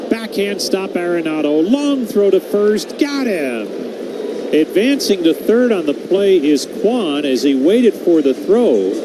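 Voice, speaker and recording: A man with an American accent calling baseball play-by-play as live radio commentary. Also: fairly high pitch. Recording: loud background noise on live broadcast.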